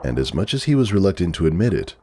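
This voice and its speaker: A man's voice: low-pitched, very animated, fast talker, mellow. A man narrating a story from a script as a dramatic reading. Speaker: narrator, fan fiction reader.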